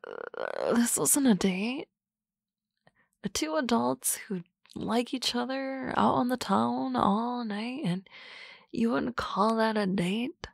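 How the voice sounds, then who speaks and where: A woman playing a teasing, confident office boss in a soft, close, whispered ASMR roleplay. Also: a little high, sing-song, slow pace, vocal fry, no echo.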